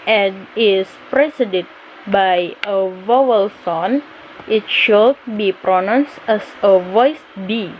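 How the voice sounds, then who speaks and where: A young woman reads out a lesson extremely slowly, breaking sentences into short halting pieces. There is faint noise behind her voice. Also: mid-range voice, low-quality recording. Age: young adult. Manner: halting, broken phrasing. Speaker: student presenter. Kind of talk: scripted lesson narration, reading slides.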